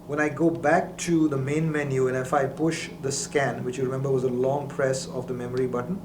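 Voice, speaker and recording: A man's voice: mid-range voice, flat delivery, a little fast. A man giving a methodical, explanatory product demonstration, as a presenter. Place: indoor lab. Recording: roomy echo, faint background noise.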